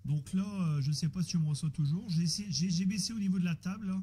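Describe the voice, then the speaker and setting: A man's voice: high voice, fast talker, dark tone, breathy edge. A man chatting casually as an amateur radio operator, hesitant and often repeating himself. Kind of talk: casual radio chat, technical troubleshooting. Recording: noisy amateur radio audio with static.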